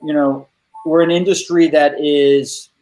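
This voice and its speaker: A man's voice: on the high side, fairly even intonation, a little slow, a little mellow, poor audio. A man co-hosting a panel show, speaking in a loose, rambling way with many restarts. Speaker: show co-host. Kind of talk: casual panel chat.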